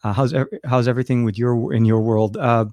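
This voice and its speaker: Halting, chatty man on a livestream. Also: mid-range voice, fairly even intonation, darkish tone, no echo. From Lebanon. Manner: rambling, halting, with frequent restarts and fillers. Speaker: livestream host. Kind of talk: casual livestream chat.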